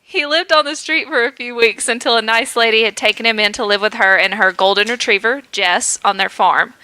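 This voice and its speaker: A woman podcast host reads a comic story aloud, playful and quick to laugh at the text. Her voice is bright and a touch high. The microphone sound is dry and close. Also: clean tone, no room sound.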